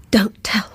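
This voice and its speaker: A woman's voice: sharp tone, no room sound. An English actress playing a guilt-ridden, obsessive woman in a radio drama, intense and confessional in dialogue and intimate narration. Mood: anguished. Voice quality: breathy, whispery.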